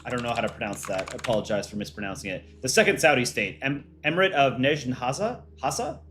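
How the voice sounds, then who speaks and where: A man's voice: mid-range voice, animated, quick pace, fairly bright tone, slight background noise. A man hosting an art contest on a livestream, reading out viewer chat and answering it offhand in casual talk.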